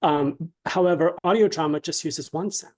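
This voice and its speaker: A man speaking as an interview guest, explaining his craft conversationally in a halting way, often checking with a "right?". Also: on the high side.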